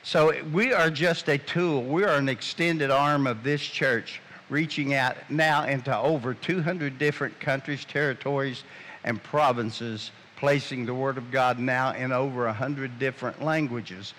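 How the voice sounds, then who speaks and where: A man with a mid-range voice speaks from the front of a church as a visiting speaker. He is earnest and measured, over a faint hiss. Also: medium pitch, fairly bright tone. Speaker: guest speaker. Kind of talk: sermon-like appeal. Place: church sanctuary.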